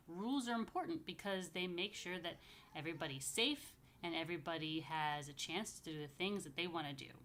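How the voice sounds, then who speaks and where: A woman's voice: fairly low pitch, a bit bright, slight background noise. Chatty woman rabbi talking to young children. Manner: chatty, playful asides; simple, repetitive explaining for young children. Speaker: rabbi leading a children's story time. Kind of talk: casual, child-friendly religious talk with blessings. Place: apartment.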